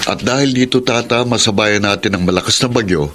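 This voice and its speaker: A man's voice: on the low side, fairly quick, fairly bright tone, a little hiss or hum, close to an everyday voice. A man playing a proud, affable husband and respectful grown son in a radio drama. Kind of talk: Filipino radio drama acting.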